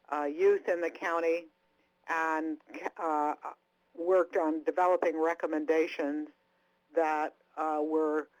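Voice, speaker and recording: A woman speaking on a webinar panel, giving careful, measured remarks with small self-corrections. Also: deep voice, dry recording, highs cut off.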